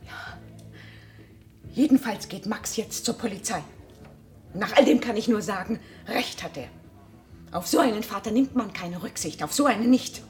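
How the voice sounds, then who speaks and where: A woman's voice: medium pitch, lively intonation, moderate pace, pauses often, darkish tone, close to an everyday voice. An actress playing a scornful, bitter wife and mother in a German radio drama, speaking in a cutting, accusing way. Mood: bitter, outraged. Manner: accusing, cutting, emphatic repetition.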